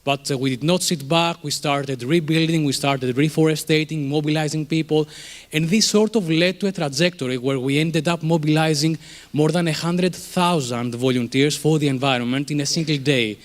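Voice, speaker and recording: A man's voice: fairly high pitch, normal speed. A man in his thirties from Greece with a Greek accent, giving a conversational conference presentation in a room, with many fillers and restarts. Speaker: conference speaker, startup co-founder.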